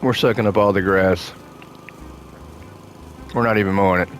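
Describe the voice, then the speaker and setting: A man giving casual gameplay commentary, thinking aloud to himself as he plays, his voice slightly hoarse. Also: lowish voice, moderate pace, slight background noise. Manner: relaxed thinking aloud, muttering to himself while playing. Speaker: gaming host playing a renovation game.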